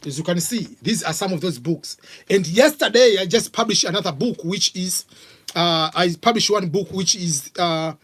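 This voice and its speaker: Tanzanian man with a high voice. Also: fairly bright tone, slightly rough. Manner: casual, halting, many fillers and restarts. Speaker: vlog host answering viewer questions. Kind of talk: live Q&A chat.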